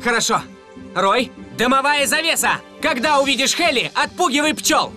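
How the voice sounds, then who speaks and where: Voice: high voice, expressive, moderate pace. An actor playing a confident, responsible young police car robot leader in a children's cartoon dub, commanding and earnest. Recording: very loud music under the voice.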